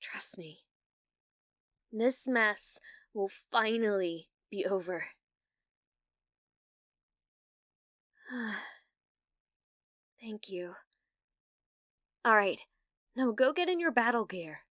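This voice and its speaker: A British woman playing a needy, awkward young gamer in an ASMR roleplay, with a halting, nervous manner. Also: mid-range voice, expressive, a bit bright, no echo, clean studio sound. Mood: wary, determined. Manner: halting, flustered, breathy laughs and hesitations.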